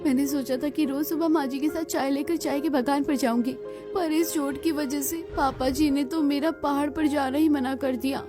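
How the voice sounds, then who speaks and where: A woman's voice: sits high, steady tone, normal speed, natural voice. A woman voicing a sweet, eager young city daughter-in-law in a cartoon story. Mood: pleading. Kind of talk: scripted cartoon story dialogue. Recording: music behind the voice.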